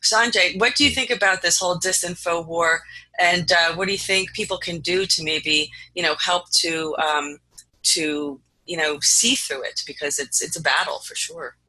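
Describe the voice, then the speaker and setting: A woman with a very bright, sharp-edged voice moderates a panel. She speaks conversationally, in halting phrases, handing points along to her guests. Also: fairly low pitch, fairly even intonation, low-quality recording.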